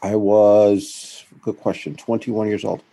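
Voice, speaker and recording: A man's voice: on the low side, slow, gravelly. A middle-aged man, a guest in an interview, telling his career story in a rambling way, correcting himself as he goes. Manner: rambling, self-correcting, animated when quoting others. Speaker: guest, founder of a financial firm.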